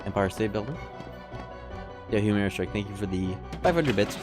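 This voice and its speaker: A laid-back male streamer with a low voice, talking over a game in a sardonic, swear-happy way. Game music plays clearly behind him. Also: animated, brisk. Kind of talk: casual live gameplay commentary.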